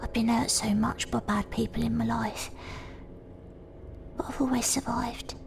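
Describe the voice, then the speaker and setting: Woman playing haunted young Victorian woman, hushed near-whisper narration, very gravelly voice. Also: on the high side, little pitch movement, unhurried, noisy background. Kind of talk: first-person audio drama narration.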